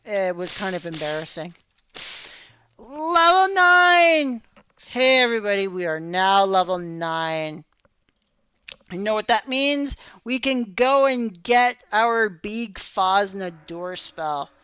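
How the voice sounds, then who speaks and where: A woman streaming a game tutorial in casual chat, her voice going hoarse after hours of talk, rambling and apologetic with many fillers. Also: medium pitch, lively intonation, slow, a bit bright, no echo. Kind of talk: casual live-stream commentary.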